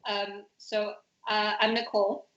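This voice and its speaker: A woman's voice: medium pitch, level tone, measured pace, fairly bright tone. A young woman from the United States moderating a panel discussion over a video call, talking with many fillers and hedges. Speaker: talk moderator. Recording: roomy, muffled call audio.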